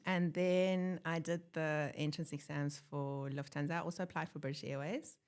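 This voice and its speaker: A woman's voice: low-pitched, fairly even intonation, normal speed, a little mellow, clean tone. A woman from Africa, telling her story as a guest in a casual interview, laughing often. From born in Germany, grew up in South Africa. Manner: chatty, laughs often, acts out quotes. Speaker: guest, airline pilot.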